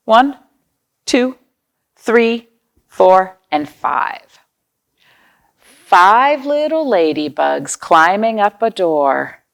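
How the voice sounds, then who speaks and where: Animated, unhurried woman reading to young children. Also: mid-range voice, gravelly. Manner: animated, simple explanations with frequent questions to young listeners. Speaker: children's librarian hosting storytime. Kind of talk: read-aloud storytime for young children, with questions and counting.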